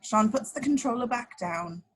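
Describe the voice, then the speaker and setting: A woman's voice: a little high, expressive, moderate pace, a bit bright, husky. A woman reading scripted action descriptions as narrator at an informal table read, now and then stumbling and correcting herself. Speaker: narrator of stage directions.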